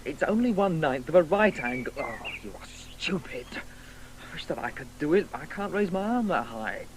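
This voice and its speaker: Pedantic, haughty boy, animated and high. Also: normal speed, slight background noise. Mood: bossy, eager. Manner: pedantic, condescending explaining. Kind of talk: 1980s radio drama acting.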